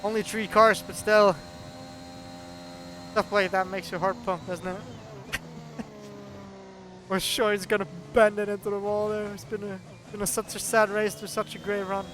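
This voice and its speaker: A man from Norway with a Norwegian accent, not a native English speaker, giving chatty, excitable commentary on a sim race. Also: sits high, moderate pace, long pauses, slight background noise. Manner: chatty, excitable, laughs often.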